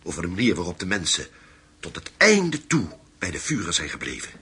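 An actor in a Dutch radio play voicing a probing journalist who smoothly flatters former Nazis. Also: male voice, low voice, brisk, exaggerated voice.

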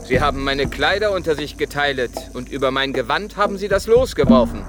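An actor playing a proclaiming voice of prophecy, declaiming scripture in a radio drama with a very noisy background. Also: male voice, medium pitch, animated, a little fast, close to an everyday voice. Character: proclaiming male voice of prophecy and inscription.

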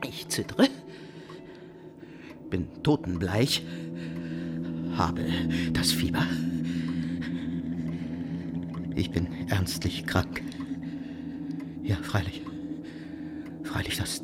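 A guilt-ridden, villainous nobleman sliding into madness in a theatrical radio drama. His delivery is feverish and drawn out, with huge leaps in pitch from hushed, shaking dread to frenzied cries. Prominent music runs behind the voice. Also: lively intonation, takes it slowly, pauses often. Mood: trembling, feverish. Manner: feverish, erratic, lurching from hushed trembling to frantic cries. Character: guilt-tormented nobleman villain, terrified, raving, descending into madness. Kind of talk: German radio drama acting, theatrical classical monologue.

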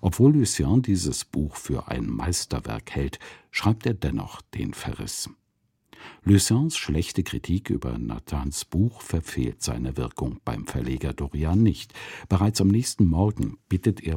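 A man with a very deep, dark voice narrates a radio drama. He is measured and literary, yet his intonation moves a lot, and the sound is dry and close. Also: very animated, vocal fry, husky, no room sound.

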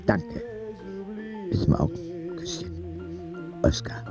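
A man's voice: fairly high pitch, wildly swooping pitch, slow, music in the background, full character voice. A man narrating an audio drama as a candid, vulnerable ten-year-old sick boy who confides in a naive, plain way. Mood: confiding, earnest.

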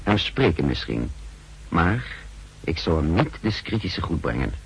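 An actor playing a wary, contemptuous secret agent who narrates his mission in a measured, literary way in a 1960s radio drama. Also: sits low, sing-song, gravelly, slightly rough, exaggerated voice. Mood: tense, scornful. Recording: noisy 1960s radio recording.

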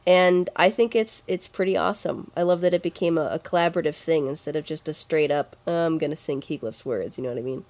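A woman's voice: deep voice, fast talker, few pauses, a little mellow. A woman co-hosting a podcast, chatting casually and informally about video game music. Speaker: podcast co-host.

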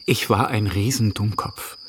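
A man's voice: lowish voice, unhurried, lightly acted. A man as a regretful suitor, faint noise. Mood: regretful, hopeful. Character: hopeful, regretful suitor wooing a reluctant woman. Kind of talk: radio drama acting.

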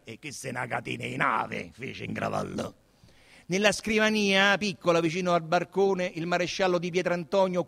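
High-pitched Roman man narrating in a hall. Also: vocal fry. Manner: emphatic, declamatory, expressive. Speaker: stage actor reading a novel as narrator. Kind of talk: theatrical literary narration with dramatic emphasis. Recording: reverberant hall.

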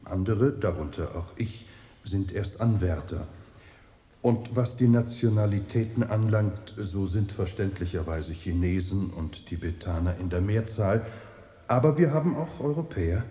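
A man acting in a radio drama as a courteous, composed older Chinese monastery guide, speaking formally and with measured politeness. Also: low-pitched, lively intonation, warm tone, highs cut off. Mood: polite, measured. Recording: echoey room, faint noise.

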